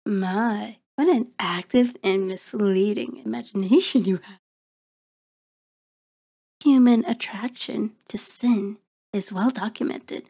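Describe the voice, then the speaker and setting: A woman's voice: fairly high pitch, mellow, husky. A woman playing a cold, taunting vampire in an intimate ASMR horror roleplay, speaking with mocking, formal deliberation. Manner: slow, deliberate, mocking, haughty formal diction. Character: cold, taunting, predatory female vampire toying with prey.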